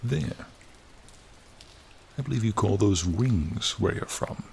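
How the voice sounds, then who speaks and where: A man voicing a charming, friendly ancient dragon in a soothing, formal, gently teasing ASMR roleplay. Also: on the low side, lively intonation, takes it slowly, gravelly, slight background noise, full character voice. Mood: gracious, warm. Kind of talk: ASMR roleplay, slow intimate monologue. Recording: rain and cave ambience behind.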